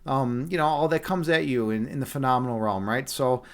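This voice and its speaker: A man's voice: mid-range voice, a little fast. A young man with a Chicago accent, casually chatting with viewers on a live stream, laid-back and chatty, calling people man and dude. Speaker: streamer and chess instructor.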